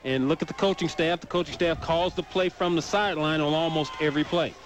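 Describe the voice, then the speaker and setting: A man's voice: on the high side, quick pace. An American man giving color commentary and analysis between plays on a football radio broadcast.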